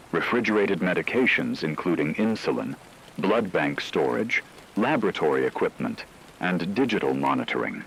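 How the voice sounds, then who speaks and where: A man playing a grave, formal radio news anchor, reading an emergency broadcast in a measured, authoritative way. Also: low-pitched, a bit bright, slight background noise, exaggerated voice, low-quality recording. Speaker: news announcer.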